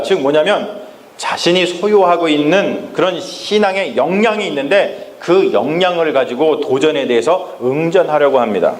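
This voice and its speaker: Emphatic male pastor in an echoey church. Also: fairly high pitch. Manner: emphatic preaching, often addresses the congregation. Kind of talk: Sunday sermon. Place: church sanctuary. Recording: echoey church with faint ambience.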